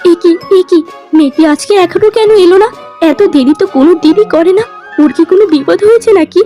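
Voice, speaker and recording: A woman's voice: very high-pitched, fast talker, warm tone. A woman voicing a sorrowful, tender queen and mother in a melodramatic fairy-tale cartoon. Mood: worried. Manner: mournful, tender. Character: sorrowful, tender village queen longing for her lost daughter. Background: music.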